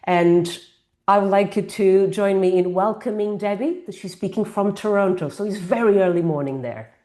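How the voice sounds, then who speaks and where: A woman hosting an online webinar, giving a formal, slightly halting introduction of the speaker over a video call. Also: mid-range voice, dark tone. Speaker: webinar host.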